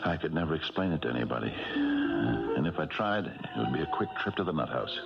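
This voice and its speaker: A man playing an older, haunted retired American policeman, speaking haltingly in 1970s radio mystery style. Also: rumbling low, lively intonation, gravelly, exaggerated voice. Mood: haunted, reflective. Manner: halting, hesitant, colloquial. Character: Jerry Roman, old retired policeman, wistful, haunted storyteller. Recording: dull 1970s radio broadcast, music behind.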